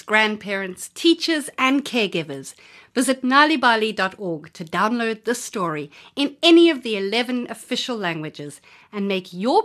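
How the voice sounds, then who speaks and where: A woman's voice: medium pitch, fairly bright tone. A woman storyteller narrating a children's story expressively, with dramatic emphasis.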